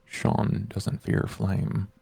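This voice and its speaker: A man playing a grave, wary male vampire in a tabletop game, speaking in a deliberate, confiding way. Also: sits low, monotone, vocal fry, mellow, breathy edge, no room sound, full character voice. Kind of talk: in-character tabletop roleplay.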